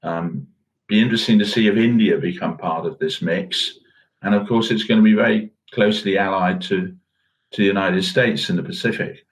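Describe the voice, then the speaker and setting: A man's voice: deep voice, normal speed, rough recording. A middle-aged man co-hosting a current affairs podcast in a musing, understated, conversational way. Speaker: podcast co-host. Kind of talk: casual current affairs discussion.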